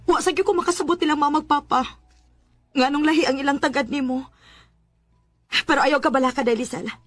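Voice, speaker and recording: Earnest high-voiced protective sister played by a woman. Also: fairly even intonation, a little fast, dry recording, natural voice. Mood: tender, concerned. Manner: earnest, insistent. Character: caring, protective older sister who challenges her parents. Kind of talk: Bisaya radio drama acting.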